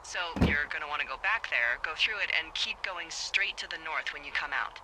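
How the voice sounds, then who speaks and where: An actress playing a wry, teasing fire lookout supervisor in a video game, talking casually and dryly over a walkie-talkie. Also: female voice, low-pitched, lively intonation, brisk, bright, forceful tone, a little hiss or hum, full character voice, rough recording. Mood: dry, practical. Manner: dry, playful, conversational. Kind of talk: naturalistic video game voice acting, casual banter.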